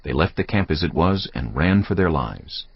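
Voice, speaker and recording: A man giving solemn, measured scripted narration for a dramatised audio Bible. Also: very deep voice, lively intonation, slightly rough. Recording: dull, muffled audio over music.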